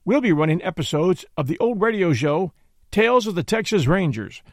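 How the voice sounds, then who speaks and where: Animated, high-voiced male podcast host. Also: dry recording, clean studio sound. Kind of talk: scripted show introduction.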